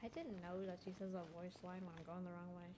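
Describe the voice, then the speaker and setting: A woman's voice: lowish voice, fairly even intonation, quick pace, a crowd in the background. A young woman giving informal, slangy commentary while she plays a video game. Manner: informal, slangy, muttering reactions. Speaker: gamer narrating gameplay. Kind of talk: casual let's play commentary. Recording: home gaming recording with noise. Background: game audio and ambience.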